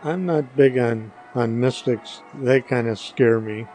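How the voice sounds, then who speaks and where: Older male guest, medium pitch, hesitant dry understatement in casual answers, faint music behind. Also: takes it slowly, dark tone. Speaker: podcast guest, the hosts' father.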